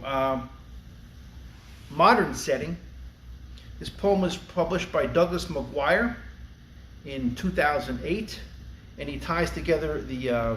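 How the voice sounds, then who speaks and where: A man reading poetry aloud and briefly introducing it, with a measured, solemn delivery. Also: a little high, a bit bright. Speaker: librarian reading poems aloud. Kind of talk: poetry recitation with short spoken introductions. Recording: echoey room with faint background noise.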